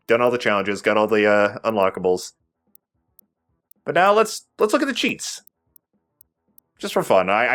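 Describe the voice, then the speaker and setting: A man with a fairly low voice gives casual let's play commentary. He often repeats and rewords himself as he goes. Music sits faintly behind him. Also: fairly bright tone.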